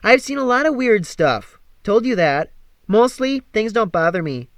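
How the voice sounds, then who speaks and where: A man's voice: high-pitched, sing-song, moderate pace, no room sound, voice pushed up. A man voicing a blunt, wary, street-smart young girl in a storybook, speaking plainly. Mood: matter-of-fact. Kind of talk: storybook character reading.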